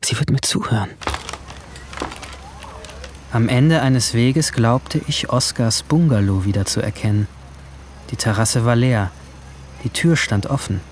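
A man's voice: medium pitch, a little slow, pauses often, a little hiss or hum, natural voice. A young man playing a brooding teenage boy who narrates his own story in subdued, introspective short phrases. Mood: troubled. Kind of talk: first-person scripted drama narration. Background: music.

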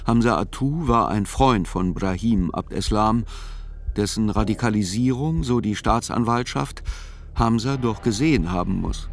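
A man narrating a radio play as a court reporter, in measured, articulate literary reportage. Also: on the low side. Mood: measured, factual. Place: studio. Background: music.

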